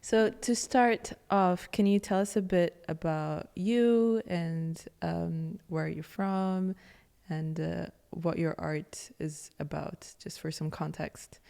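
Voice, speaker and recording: A woman's voice: fairly low pitch, takes it slowly, creaky, mellow, big-room sound. A woman interviewing an artist, asking questions in a friendly way and laughing easily.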